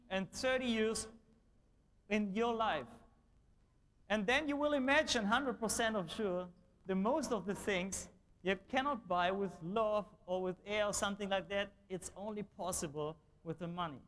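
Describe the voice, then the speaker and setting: A man's voice: very high-pitched, fairly bright tone. A man from Germany with a German accent, giving an enthusiastic motivational speech on stage in slightly imperfect English. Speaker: motivational speaker at a sales event. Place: large event hall on stage.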